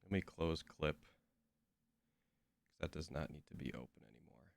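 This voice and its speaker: A man's voice: rumbling low, normal speed, gravelly, dry recording. A young man with a stuffy, slightly nasal voice, chatting casually and rambling with lots of fillers while streaming a game. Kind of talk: casual chat while gaming.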